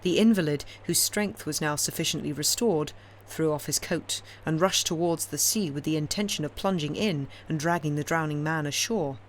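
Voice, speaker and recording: A woman reading classic fiction aloud as an audiobook narrator, in a measured, expressive, dramatic storytelling style. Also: low voice, a little hiss or hum. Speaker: audiobook reader.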